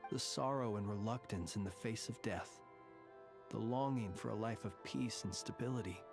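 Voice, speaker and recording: An actor playing a solemn, courtly lighthouse warden who consoles ghosts in a video game, measured and eloquent. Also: male voice, on the low side, natural voice. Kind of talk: video game character acting.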